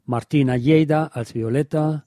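A man presenting a scripted film review as a radio host, in a measured, explanatory way. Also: a little high, warm tone, dry recording, clean studio sound.

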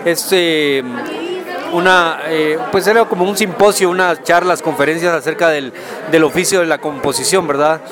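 Guatemalan male musician, high voice, reflective conversational interviewee, busy crowd behind. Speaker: guest singer-songwriter.

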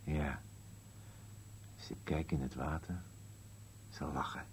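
An actor playing a gloomy, contrary elderly husband in a radio play, speaking in terse, musing contradictions. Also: male voice, very deep voice, fairly even intonation, slow, slight background noise, full character voice. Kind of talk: Dutch-language radio play acting.

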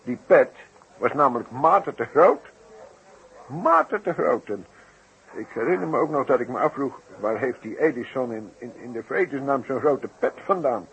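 An actor playing a flustered, droll eyewitness in a 1960s radio play, halting, repeating words and exaggerating floridly. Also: male voice, medium pitch, expressive, fairly bright tone. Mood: earnest, puzzled. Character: flustered, droll male eyewitness recounting his mishap. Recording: muffled, noisy vintage radio recording.

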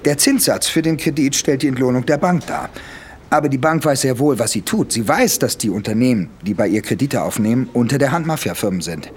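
A man's voice: mid-range voice, lively intonation, brisk, slight background noise, lightly acted. An actor playing a male police investigator in a radio drama, explaining things methodically. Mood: explanatory, assured.

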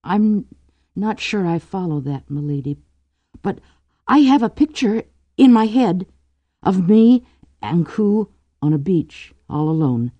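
Casting: lovestruck young woman in an audiobook, earnest, hesitant, raised pitch, swooping intonation woman narrator performing. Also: sing-song, slow pace, long pauses, warm tone, dry recording, highs cut off, full character voice. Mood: dreamy, wistful.

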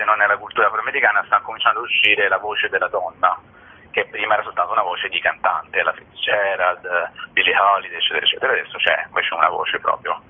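Casting: male author interviewee, deep and raspy, hesitant delivery, phone-line audio. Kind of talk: casual radio phone interview. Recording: muffled narrow-band telephone line.

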